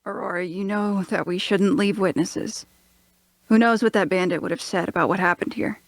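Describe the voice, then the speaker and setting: A woman's voice: mid-range voice, steady tone, moderate pace, dry recording, natural voice. A woman playing a blunt, observant, hard-nosed mercenary in a fantasy audio drama. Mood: scornful. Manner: blunt, matter-of-fact.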